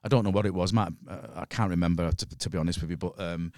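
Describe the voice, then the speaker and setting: A man's voice: low voice, steady tone, fast talker, a little mellow, dry recording, clean studio sound. A middle-aged man with a Mancunian accent, casually telling anecdotes in a blunt, sweary way as a podcast guest.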